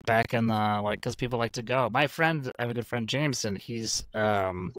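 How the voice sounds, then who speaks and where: A man's voice: medium pitch, moderate pace, fairly bright tone, no echo. A man from Michigan hosting a podcast, eager and enthusiastic, often jumping in mid-conversation. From Michigan, USA. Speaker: podcast host. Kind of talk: casual chat.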